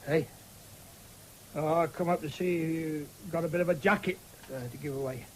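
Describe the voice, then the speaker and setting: An actor playing a rough, deaf old vagrant with a rural English accent in a radio drama, terse and muttering. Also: male voice, fairly high pitch, steady tone, normal speed, close to an everyday voice, low-quality recording. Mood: hesitant, deaf. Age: older. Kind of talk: 1970s British radio drama acting. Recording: faintly hissy old radio broadcast.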